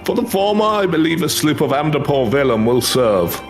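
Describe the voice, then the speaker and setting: A man's voice: on the high side, sing-song, normal speed. A man voicing a courtly, earnest fantasy craftsman, devout and grateful, speaking quest dialogue in formal, flowery phrasing. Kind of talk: voiced reading of fantasy game quest dialogue. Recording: music behind the voice.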